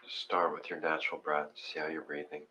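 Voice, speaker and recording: A man casually giving step-by-step guided meditation instructions in an informal, gently encouraging way. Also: extremely low pitch, level tone, brisk, dull audio, slight background noise.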